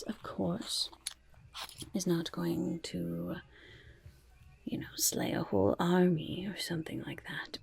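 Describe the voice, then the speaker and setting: A woman's voice: medium pitch, darkish tone, full character voice. A woman voicing a sly, chatty goblin market peddler in a whispered ASMR roleplay, with wheedling salesmanship. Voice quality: breathy, husky whisper with creaky edges. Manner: wheedling salesmanship, speaks of self in third person.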